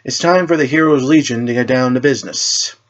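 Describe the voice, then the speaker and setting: A man voicing an assured, cryptic leader of a superhero team, speaking in a measured, formal way. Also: mid-range voice, lo-fi sound, lightly acted.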